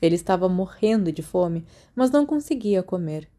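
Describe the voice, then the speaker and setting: A woman's voice: on the low side, expressive, normal speed, warm tone. A Brazilian woman reading a story aloud as scripted audiobook narration. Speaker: podfic narrator.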